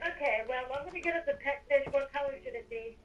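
A woman's voice: high voice, fast talker. A woman chatting casually with other players during a video game. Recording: distant, noisy, phone-like voice chat.

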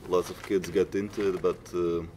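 A man's voice: low-pitched, little pitch movement, moderate pace. A young man in a band, speaking casually in a documentary interview and searching for words. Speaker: band member. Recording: echoey room with faint noise.

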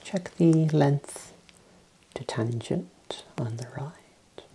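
A man in his thirties doing an ASMR roleplay as an optician, whispering softly with calm clinical instructions into a close clip-on mic. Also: fairly high pitch, slight background noise. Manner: hushed, unhurried, reassuring clinical instructions. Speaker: ASMR performer role-playing an optician. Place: small indoor room. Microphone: clip-on wireless mic on lapel, close.

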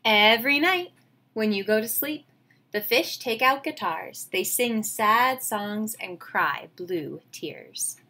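A woman, reading a picture book aloud to children with expressive, enthusiastic, chatty asides. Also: fairly high pitch, slow pace. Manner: expressive, enthusiastic, questioning the listeners. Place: small home room, indoors.

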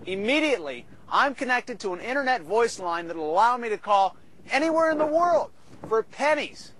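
An American man in his thirties giving an emphatic, persuasive scripted sales pitch to camera. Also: very animated, normal speed, fairly bright tone, slight background noise, poor audio. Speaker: infomercial presenter. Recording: music bed behind the voice.

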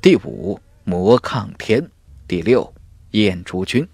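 Guy doing a sly teasing warrior buddy, low voice, audiobook drama, swings around a lot. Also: expressive, a little mellow, slightly rough, dry recording, full character voice. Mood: grave. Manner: sly chuckles, slow weighty delivery. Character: teasing, worldly young male warrior, sworn-brother type.

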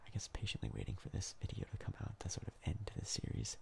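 Young man whispering ASMR commentary, crackly and low. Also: big pitch swings, fast, creaky. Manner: casual, rambling, hesitant. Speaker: ASMR gaming YouTuber. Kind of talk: soft-spoken ASMR game commentary.

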